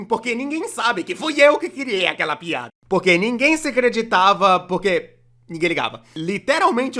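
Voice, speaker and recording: A Brazilian performer dubbing a young cartoonist who narrates an animated comedy video in a conversational, self-deprecating, complaining way. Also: medium pitch. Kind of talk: scripted comedic storytime narration, fan dub.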